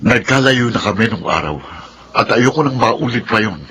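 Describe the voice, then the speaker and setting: Earnest, bright-voiced middle-aged Filipino man. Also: mid-range voice, expressive, normal speed, slight background noise. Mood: earnest, firm. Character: earnest, steadfast middle-aged suitor of a mother. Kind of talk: Filipino radio drama acting.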